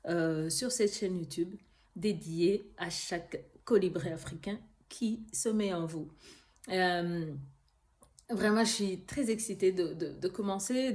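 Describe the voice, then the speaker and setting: A woman, a Kinyarwanda speaker, hosting her own channel and talking earnestly to camera, pausing often with fillers. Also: on the low side, a little mellow. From Rwanda. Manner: earnest, hesitant with frequent fillers. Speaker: YouTube channel host. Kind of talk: casual talk to camera.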